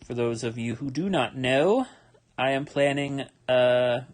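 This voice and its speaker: A young man talks through his drawing on a livestream, tired and a little yawny. His voice sits at an ordinary middle pitch. He rambles easily, with lots of ums and half-started sentences. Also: a little slow. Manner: relaxed, rambling, with many fillers and restarts. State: tired, yawning. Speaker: manga artist streaming his drawing. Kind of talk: casual livestream commentary while drawing.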